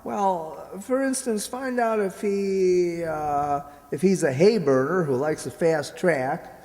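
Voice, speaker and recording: Slangy American gambler schemer, played by a man, highish swooping voice, radio comedy, echoey hall. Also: a little mellow. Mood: scheming, exasperated. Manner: slangy wise guy, drawn-out delivery. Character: slangy, scheming small-time gambler boyfriend. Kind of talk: old-time radio comedy acting.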